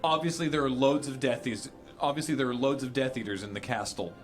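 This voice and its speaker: Guy doing a bossy teenage witch, reasonable, brisk, storybook dialogue. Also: mid-range voice, faint music behind, close to an everyday voice.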